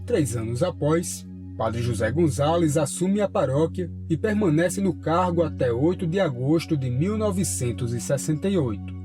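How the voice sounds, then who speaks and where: Male voice-over narrator, slightly high, formal and measured, guitar music under. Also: animated. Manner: measured, formal documentary delivery. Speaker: documentary narrator. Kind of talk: scripted narration.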